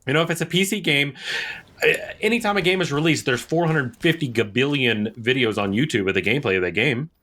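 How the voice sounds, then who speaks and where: A man's voice: medium pitch, fast, a bit bright. A man co-hosting a podcast in casual chat, opinionated and emphatic, often repeating points for stress. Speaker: podcast co-host.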